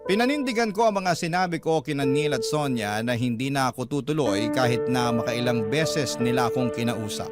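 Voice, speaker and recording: A man's voice: medium pitch, brisk, hardly any pauses. A man giving a scripted first-person narration of a confessional letter for a radio drama. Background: loud music.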